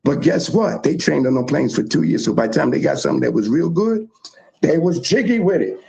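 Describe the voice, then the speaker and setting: A man from the South Bronx, telling history in animated, emphatic casual chat as a show host. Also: a little high, a little fast, creaky. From South Bronx, New York.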